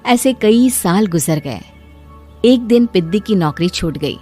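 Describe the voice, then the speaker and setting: A woman's voice: medium pitch, animated, a little slow, dark tone, husky, soft background music. A woman narrating a scripted cartoon story as a storyteller.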